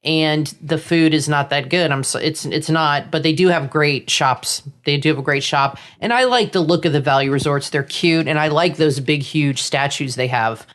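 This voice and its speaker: A woman's voice: low voice, moderate pace, hardly any pauses. Chatty middle-aged woman from Florida. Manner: chatty, opinionated, runs through lists. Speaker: co-host. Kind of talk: casual chat.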